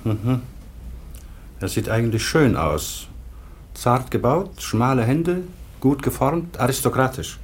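An actor playing an authoritative, dignified state official in a radio play. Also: male voice, medium pitch, expressive, takes it slowly, long pauses, a little hiss or hum. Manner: authoritative, composed.

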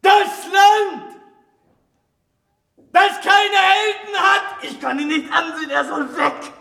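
A man's voice: very high voice, fairly even intonation, slow pace, creaky, bright, forceful tone, exaggerated voice. An actor playing an agitated, bitterly scornful man in a German radio drama. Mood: outraged, scornful. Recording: echoey hall.

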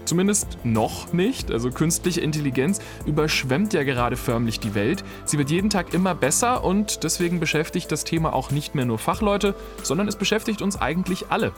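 A man's voice: fairly high pitch, lively intonation, fairly quick, hardly any pauses. A man hosting a podcast, delivering a lightly scripted, conversational trailer. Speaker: podcast host. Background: music.

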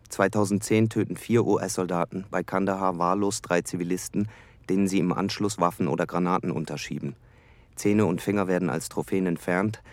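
An actor playing a sober documentary announcer who reads grim facts in an impersonal, factual way within a radio drama. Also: male voice, deep voice, no room sound, close to an everyday voice.

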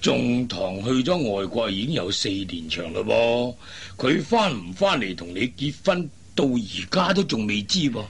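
A man's voice: mid-range voice, expressive, unhurried, few pauses, a little hiss or hum. A man playing a puzzled, kindly middle-aged father in a Cantonese radio drama, hesitant and exclamatory. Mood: fretful. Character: puzzled, fretful, kindly father of grown daughters.

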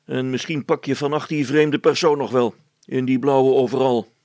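A man voicing a firm schoolmaster who speaks deliberately and repeats himself with ominous, knowing insistence in a story. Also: mid-range voice, fairly even intonation, a little mellow, no echo, close to an everyday voice. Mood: firm, teasing.

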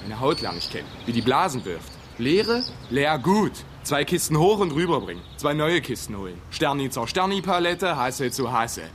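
An actor playing an exasperated young warehouse labourer in a radio drama, griping in colloquial speech. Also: male voice, mid-range voice, very animated, normal speed, hardly any pauses, a bit bright, a little hiss or hum. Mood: sullen griping. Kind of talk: German radio drama acting.

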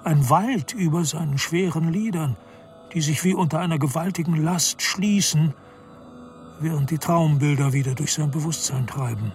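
Guy narrating a radio drama, slightly higher voice, bit of music under it. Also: moderate pace, a little mellow.

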